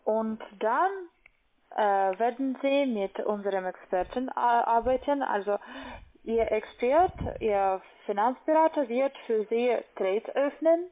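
A non-native speaking woman with a foreign accent, working as a call-center investment agent and talking haltingly as she searches for words. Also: a little high. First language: not German. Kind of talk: phone sales conversation. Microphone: telephone. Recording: tinny narrow phone line.

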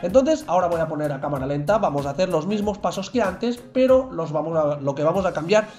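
A man's voice: a little high, expressive, quick pace, a little mellow, soft background music. A young man from narrating a game tutorial over gameplay, chatty and constantly checking in with viewers. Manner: chatty, repeats "¿vale?" and "chicos" constantly. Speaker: gaming youtuber.

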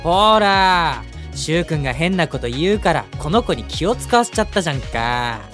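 A man's voice: fairly high pitch, sing-song, normal speed, fairly bright tone, faint music behind. A man voicing a cheeky, playful, boyish young gang leader in an audio drama. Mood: teasing. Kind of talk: Japanese boys' audio drama.

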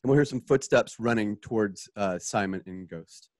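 A man's voice: on the low side, normal speed, darkish tone, no room sound, studio quality. A man casually narrating scenes and sound cues as the director of a radio drama.

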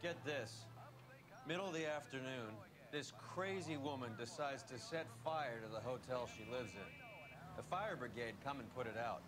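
An actor playing a wisecracking, cynical 1940s police detective in a video game, with a dry, sardonic way of talking. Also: male voice, mid-range voice, lively intonation, moderate pace, natural voice. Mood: amused. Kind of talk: video game dialogue acting. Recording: game music behind.

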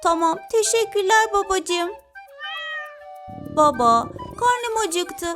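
A woman's voice: very high voice, sing-song, slow, over music, full character voice. A woman voicing a polite, eager little animal boy in a children's cartoon. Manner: polite, simple childlike phrasing.

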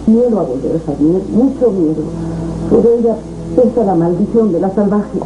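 A woman's voice: mid-range voice, normal speed, dull audio, close to an everyday voice. A woman playing an anguished, fearful young mother in a melodramatic 1970s telenovela. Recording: noisy old TV videotape.

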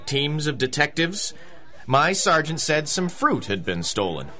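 An actor playing a polite, serious police constable, deferential in manner, in a radio drama. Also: male voice, on the high side, very animated, measured pace, bright, forceful tone, full character voice. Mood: puzzled. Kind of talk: 1930s-style radio drama acting.

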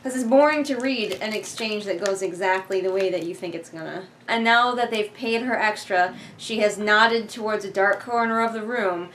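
A woman's voice: mid-range voice, normal speed, few pauses, fairly bright tone. A young woman giving casual, rambling, sarcastic commentary and swearing offhand, recorded indoors a few feet from the camera. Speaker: book reviewer reading along. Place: living room couch, indoors. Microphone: camera a few feet away. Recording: noisy living room camera audio.

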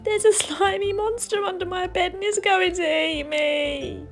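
Woman playing a high, squealing little bear cub. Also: steady tone, unhurried, faint music behind. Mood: frightened. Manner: squealing, pleading, exclamatory. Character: small, excitable, easily frightened young bear cub.

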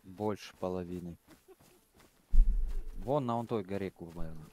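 A man's voice: low-pitched, a little slow, a little mellow, a little hiss or hum. A man chatting casually over voice chat while gaming, blunt and rambling, swearing freely. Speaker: gamer on voice chat.

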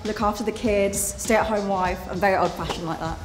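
A woman's voice: mid-range voice, fairly even intonation, a little fast. A cheerful, giggly woman in her twenties from the Philippines chats as a speed-dating contestant. Her voice rings through a roomy hall with long reverb and faint music underneath. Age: 20s. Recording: echoey hall with music behind.

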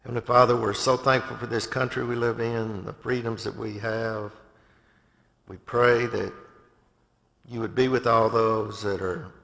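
An older man with a low, gravelly voice gives church announcements and leads a prayer, stopping and restarting often as he goes. He speaks at a lectern in an empty sanctuary. A long hall reverb carries his voice through the big room. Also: flat delivery, fairly bright tone. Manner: halting, with many restarts and fillers. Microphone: far camera from above, speaking at a lectern.

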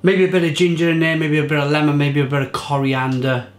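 Man, 30s, beer reviewer, casual, enthusiastic, fairly high voice, roomy kitchen sound. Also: little pitch movement, fast talker. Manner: chatty, enthusiastic, restarts and fillers. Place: home kitchen indoors. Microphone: fixed camera at table.

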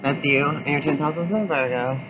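A man's voice: fairly high pitch, normal speed. A young man filming a street vlog from a handheld camera, outdoors and in a car, upbeat and repeating a stock thank-you phrase. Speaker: vlogger asking strangers their sign. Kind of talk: street vlog, asking passersby. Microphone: handheld camera at arm's length. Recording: noisy outdoor phone video.

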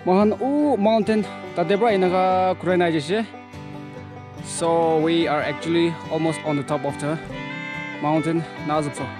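A man's voice: fairly high pitch, fairly even intonation, moderate pace, over music. A young man from India narrating a hike outdoors in a mountain forest, talking to a handheld action camera as he walks and points things out. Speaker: hiking vlogger. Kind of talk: casual narration while hiking. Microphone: action camera held at arm's length.

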